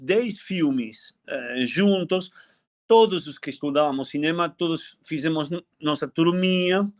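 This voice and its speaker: Older Argentine-born director, fairly high voice, halting fond recollection. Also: male voice. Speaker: film director at a panel. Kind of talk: reminiscing in a panel talk.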